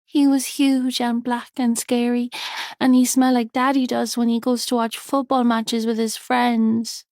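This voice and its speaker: A woman's voice: fairly high pitch, flat delivery, a little slow, darkish tone, no room sound, clean studio sound, voice pushed up. A woman voicing a small, frightened young girl who speaks earnestly and insistently.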